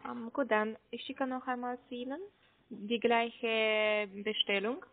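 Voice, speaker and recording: High-voiced woman on a phone line. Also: flat delivery, unhurried. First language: not German. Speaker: call center agent. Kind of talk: sales phone call. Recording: muffled narrow phone line.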